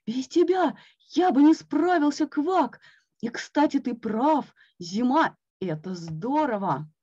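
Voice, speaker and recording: A woman's voice: mid-range voice, lively intonation, normal speed, warm tone, close to an everyday voice. A woman doing a grumpy, timid toad. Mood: joyful. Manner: grumbling, then shrieking in panic. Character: grumpy timid male toad who loves his blanket. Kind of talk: storybook reading. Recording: dull lo-fi recording.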